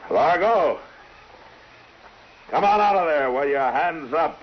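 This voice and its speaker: A man playing a stern, steady frontier lawman in 1950s western radio drama style. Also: a little high, slow. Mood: commanding, grim. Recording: muffled, noisy old radio recording.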